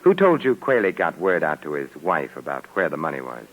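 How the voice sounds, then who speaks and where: Suave, wisecracking radio adventurer, low-voiced. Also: male voice, wildly swooping pitch, fairly quick, phone-quality sound, exaggerated voice. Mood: sardonic, probing. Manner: sardonic, urbane, playful. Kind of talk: 1950s radio detective acting. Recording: vintage 1950s radio recording.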